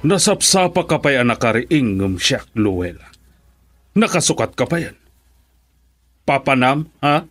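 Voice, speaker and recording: A man's voice: mid-range voice, very animated, measured pace, pauses often, fairly bright tone, faint music behind. An actor with a Filipino Ilocano accent playing a stern, wealthy, controlling father who scolds indignantly in a radio drama.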